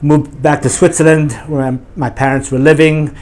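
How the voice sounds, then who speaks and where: A man who directs a church's overseas mission, calmly answering interview questions in a measured way with some hesitations. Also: on the high side.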